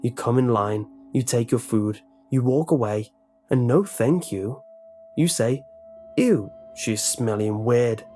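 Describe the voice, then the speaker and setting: A man's voice: medium pitch, lively intonation, normal speed, mellow, soft background music, close to an everyday voice. A man voicing a bitter, menacing middle-aged lunch lady with a heavy accent, who barks short orders in broken speech. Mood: resentful. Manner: resentful, threatening, barking short orders.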